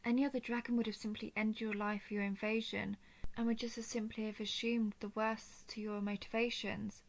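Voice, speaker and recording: Woman voicing a gently solemn, higher-pitched noble dragoness. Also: vocal fry. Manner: formal, measured, earnest speech. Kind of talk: scripted audio roleplay, intimate monologue.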